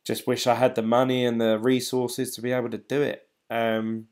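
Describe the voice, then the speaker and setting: A British man in his thirties, a channel host, chatting casually and hesitantly to viewers on a late-night live stream, tired and a little croaky. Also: medium pitch, little pitch movement, normal speed, a little mellow. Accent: British English. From United Kingdom. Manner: rambling, hesitant, many ums and restarts. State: tired, voice getting croaky and dry. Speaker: crypto channel host reading live chat.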